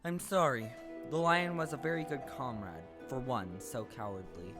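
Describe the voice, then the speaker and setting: Woman playing an earnest, low-voiced scarecrow. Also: normal speed. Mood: concerned. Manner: emphatic, earnest, urging. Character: male scarecrow, earnest, friendly, take-charge leader. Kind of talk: stage audio play acting. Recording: live stage recording with music.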